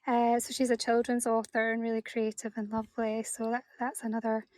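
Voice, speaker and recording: Female podcast host: slightly high pitch, quite flat intonation, affirming and conversational. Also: fairly quick, no room sound. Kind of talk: casual interview chat.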